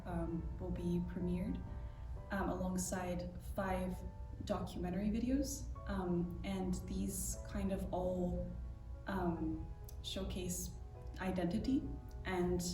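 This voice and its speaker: A woman pianist from the United States, talking about her project in a hesitant way with many filler words. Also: fairly low pitch. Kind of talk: interview talk. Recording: roomy echo from far off with music.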